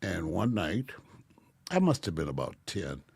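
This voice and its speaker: A man in his eighties, a podcast guest telling stories about his life in a soft-spoken, wry, self-mocking way. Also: low-pitched, wildly swooping pitch, measured pace, creaky, slightly rough. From Delray. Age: 80s. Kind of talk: casual storytelling interview.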